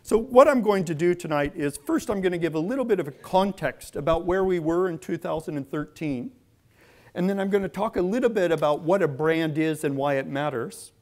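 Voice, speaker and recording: A man's voice: fairly high pitch, brisk. A middle-aged man giving a conversational lecture in a large indoor hall, speaking into a lectern mic and then a clip-on mic while moving around. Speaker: guest speaker. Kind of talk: public lecture.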